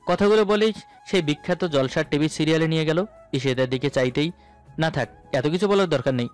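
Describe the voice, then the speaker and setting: High-pitched male story narrator over music. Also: brisk. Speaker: narrator of romantic audio stories. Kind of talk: first-person scripted story narration.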